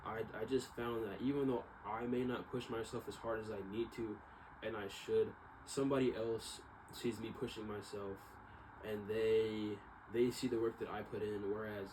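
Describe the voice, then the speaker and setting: A man talking casually and unscripted, in a rambling, self-doubting way that circles back and repeats. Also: on the low side. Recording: clearly noisy ambient background.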